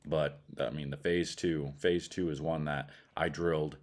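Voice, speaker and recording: A man's voice: a bass voice, steady tone, unhurried. A middle-aged man with an American accent gives a casual product review in a blunt, colloquial way. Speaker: bowling ball reviewer.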